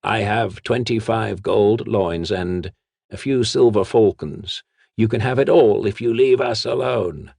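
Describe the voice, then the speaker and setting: A man's voice: fairly low pitch, unhurried, gravelly, a little mellow, no echo, voice pushed up. Frightened, blustering old nobleman in a storybook, voiced by a male reader.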